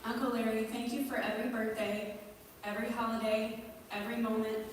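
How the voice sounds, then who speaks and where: A woman reading a prepared memorial tribute as a family member, in measured, listed phrases, recorded in a large indoor space. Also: on the high side, flat delivery. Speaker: family member giving a eulogy. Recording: echoey hall, distant mic, noisy.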